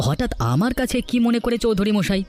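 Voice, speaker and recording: A man's voice: high-pitched, expressive, quick pace, a little mellow, soft background music. A man voicing a humble, anxious village husband in a cartoon folktale, earnest in manner. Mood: protesting. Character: anxious, humble village husband, stubborn about his home. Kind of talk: Bengali cartoon folktale voice acting.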